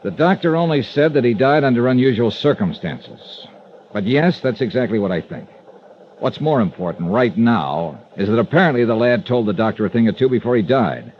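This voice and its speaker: An actor playing a determined, wry freelance insurance investigator in a vintage radio drama, with an American accent and clipped, punchy delivery. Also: male voice, mid-range voice, animated, brisk, slight background noise, natural voice. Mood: resolute, terse. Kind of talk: 1950s radio detective drama, narration and dialogue. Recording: dull vintage radio recording with loud music.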